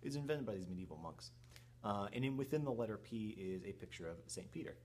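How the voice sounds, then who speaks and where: A middle-aged man gives a recorded history lesson in a very low-pitched voice. He explains things informally and a little haltingly, like a teacher going through slides. A noticeable hum sits behind his speech. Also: animated, darkish tone. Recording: clear background noise with hum.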